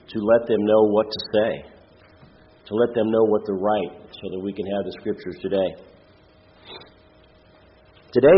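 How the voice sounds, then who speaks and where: A man with a head cold and a nasal, congested voice gives an informal sermon as a lay preacher, in a halting, plain-spoken way. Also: lowish voice, muffled recording. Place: church, speaking before a congregation.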